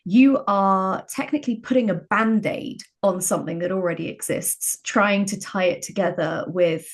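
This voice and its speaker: Female podcast guest, writer type. Medium pitch, conversational, explanatory, emphatic delivery. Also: moderate pace.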